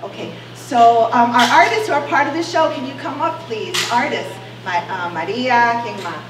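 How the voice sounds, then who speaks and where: A middle-aged woman hosts an event, calling people up in a casual, lively way and slipping into Spanish now and then. Her voice is fairly high. It comes from a distance in a reverberant room with noise behind it. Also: normal speed. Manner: lively, informal, mixes in Spanish words. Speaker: event host, organizer. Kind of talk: emcee introductions at a gallery event. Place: bright gallery room, indoors. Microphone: handheld mic, recorded by a camera from a distance. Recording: echoey gallery from a distance, noisy.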